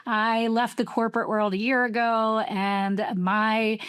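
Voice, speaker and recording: A woman's voice: on the high side, level tone, measured pace, a bit bright, clean tone, dry recording. Enthusiastic woman podcast host in her forties. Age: 40s. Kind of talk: casual conversational interview.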